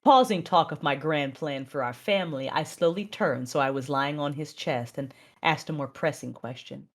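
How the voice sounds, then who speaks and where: A woman acting as a controlled, proud Sith mother, narrating and speaking in a measured, intense way in an audio drama. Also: low-pitched. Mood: intimate, resolved. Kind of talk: first-person dramatic narration and dialogue.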